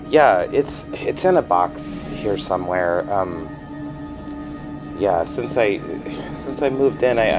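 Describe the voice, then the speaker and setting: An anxious, apologetic man in a fiction podcast, confused by his own memory. His voice is low and his delivery slow, stammering and self-conscious, with music clearly underneath. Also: poor audio. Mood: flustered, apologetic. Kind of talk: naturalistic fiction podcast dialogue.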